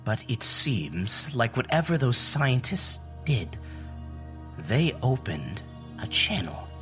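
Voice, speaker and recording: An actor playing an earnest, cerebral scientist in an audio drama, explaining deliberately and searching for simple words. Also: male voice, medium pitch, big pitch swings, fairly bright tone, faint music behind. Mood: grave, awed. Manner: deliberate, groping for simple analogies. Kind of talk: naturalistic audio drama acting.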